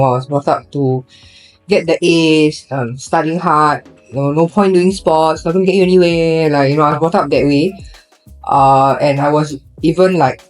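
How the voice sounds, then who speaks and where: A woman's voice: low-pitched, poor audio. A young woman from Singapore with a Singaporean English accent, chatting casually on a podcast and filling her talk with fillers and restarts. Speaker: podcast co-host.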